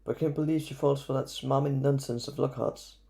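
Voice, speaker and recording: A man plays a wry schoolboy sidekick in an audio drama. His voice is gravelly and mellow, and he sounds blunt and incredulous. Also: medium pitch, fairly even intonation, fairly quick. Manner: sarcastic, incredulous.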